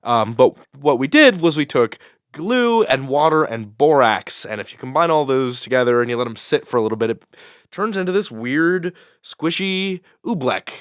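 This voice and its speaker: A man's voice: mid-range voice, lively intonation, normal speed, fairly bright tone, clean tone, no echo, studio quality. A man who works as a Foley artist, telling stories in a playful, jokey way in a casual group interview. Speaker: live Foley artist for an audio drama.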